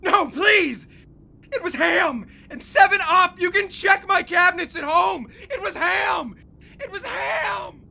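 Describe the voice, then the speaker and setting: High, flustered woman playing a male suspect. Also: lively intonation, slow, creaky, pushed and bright. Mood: desperate pleading. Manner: melodramatic, pleading. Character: indignant, flustered male picnic suspect under interrogation. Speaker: comedy podcast sketch performer. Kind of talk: theatrical sketch acting.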